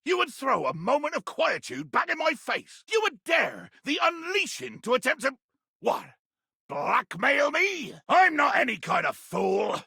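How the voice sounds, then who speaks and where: An actor playing a tiny, fierce, pompous pirate captain in a video game, with a raspy, gravelly voice and grandiloquent, blustering delivery. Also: male voice, extremely high pitch, very animated, a little slow, sharp tone, dry recording, clean studio sound, full character voice. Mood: furious, threatening. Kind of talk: theatrical video game character acting.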